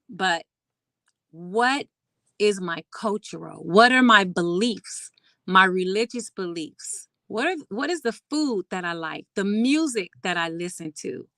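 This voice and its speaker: Host: middle-aged woman, bright chatty voice, emphatic, close dry mic. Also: medium pitch, no echo. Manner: emphatic, chatty, laughs often. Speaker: clinical psychologist and host. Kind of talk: casual talk on mental health.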